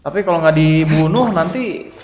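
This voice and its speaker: A male game streamer with a fairly high voice. He comments casually in a reverberant room, with loud music behind him. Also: brisk. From Indonesia. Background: game audio and music.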